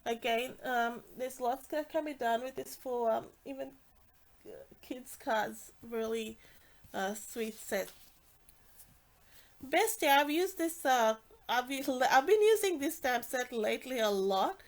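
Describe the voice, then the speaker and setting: A woman's voice: sits high, lively intonation, slow. A woman with a congested, nasal voice, casually walking through craft products with many fillers and restarts. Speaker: stamping demonstrator.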